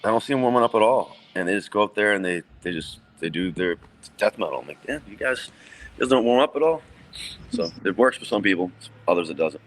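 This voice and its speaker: A man, answering interview questions in a relaxed, plain-spoken way with many ums and restarts. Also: fairly low pitch, quick pace, low-quality recording. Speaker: metal band vocalist, guest.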